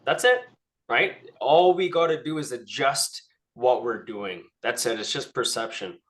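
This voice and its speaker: A man's voice: mid-range voice, measured pace, fairly bright tone. Man coaching a group, casual. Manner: conversational, often adds a questioning "right?". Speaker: life coach leading a group session.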